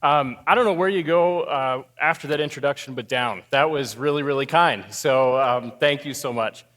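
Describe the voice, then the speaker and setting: A man's voice: mid-range voice, normal speed. Man speaking in a long-echoing hall. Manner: relaxed, self-deprecating humor. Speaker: guest speaker, marriage therapist. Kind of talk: talk to a live audience.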